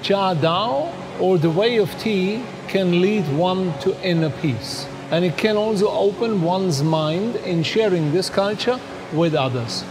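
A man in his fifties with a German accent, talking calmly and reflectively about tea in a documentary. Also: high voice, noisy background. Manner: calm, unhurried, reflective. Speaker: tea master. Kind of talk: documentary narration and on-location talk.

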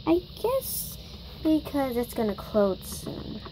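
A woman's voice: sits high, sing-song, slow, noisy background. A girl improvising a toy shopping scene, chatty and bossy as she runs the story. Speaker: toy video maker. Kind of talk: improvised toy play-acting.

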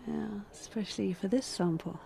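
An actress playing a forensic scientist in her forties, who talks haltingly with many fillers and restarts. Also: mid-range voice, gravelly, mellow, a little hiss or hum. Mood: hesitant. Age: 40s. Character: guarded mid-forties woman forensic scientist. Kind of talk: audio drama acting.

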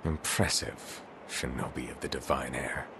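An actor playing a cold, ambitious warrior lord in a video game, commanding and deliberate in his speech. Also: very deep voice, fairly even intonation, noisy background. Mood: haughty, taunting. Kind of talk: dramatic video game voice acting. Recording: loud music over the voice.

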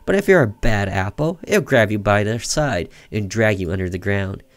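A man's voice: lowish voice, expressive, moderate pace, no echo. A man voicing a caring old grandmother who tells eerie folk warnings in a visual novel. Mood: gentle, ominous. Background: music under the voice.

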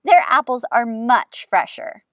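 Storybook grandfather read by a woman: elderly, folksy, teasing, lively inflection. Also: on the high side, unhurried, dry recording, clean studio sound. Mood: kindly. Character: kindly, joking old grandfather in a storybook.

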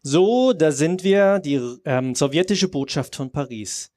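A man's voice: fairly high pitch, lively intonation, measured pace, a little mellow, no echo. Courteous male Soviet driver played by a man. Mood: polite. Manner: courteous, matter-of-fact. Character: polite, dutiful male Soviet embassy driver. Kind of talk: live radio play. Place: outdoor festival stage under a tent.

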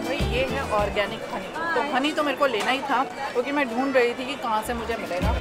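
A woman's voice: on the high side, fast. A young woman vlogging at an outdoor farmers market, chatting with viewers and mixing in English as she holds the camera at arm's length. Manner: chatty, addresses viewers as "bhai", mixes English in. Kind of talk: casual vlog chat. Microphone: handheld camera at arm's length. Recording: loud music and crowd around.

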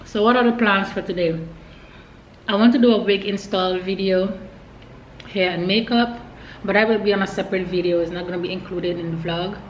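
A young woman talks to camera in a casual vlog style. Her voice sits at a medium pitch and her delivery is informal, like addressing followers directly. Some background noise is audible. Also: fairly even intonation, brisk, echoey room, poor audio. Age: young adult. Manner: informal, addressing viewers as y'all. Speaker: vlogger.

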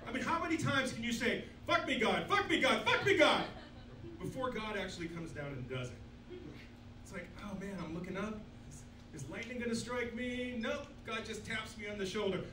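A man in his sixties works a small club stage with a handheld mic, trading in crude, self-deprecating punchlines. His voice sits high for a man. The recording is roomy and distant, with a noisy bed under it. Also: lively intonation, normal speed. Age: 60s. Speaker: stand-up comedian. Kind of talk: stand-up comedy set. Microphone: handheld mic, filmed from far back.

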